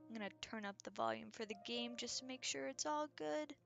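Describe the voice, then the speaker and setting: A woman's voice: on the high side, fairly quick, gravelly. A woman streamer giving casual, chatty, informal commentary while she plays a game. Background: game music.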